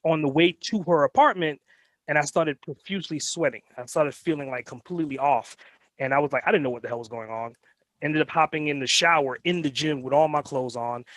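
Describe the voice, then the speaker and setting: A man's voice: on the high side, a little fast, a bit bright, no echo. A man born in Trinidad and Tobago and raised in New York, a guest telling his life story in a casual interview, rambling with lots of fillers. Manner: rambling, anecdotal, many fillers. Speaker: guest entrepreneur.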